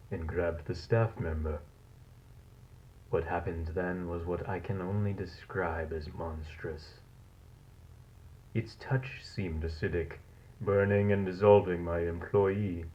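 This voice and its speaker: A man playing a cold, fascinated scientist in a horror audio log, speaking in a measured, formal, clinical way. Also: sits low. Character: cold, fascinated male scientist logging a monstrous experiment. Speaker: narrator of a found-footage audio log. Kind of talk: scripted horror narration, dated lab log. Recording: dull lo-fi tape recording.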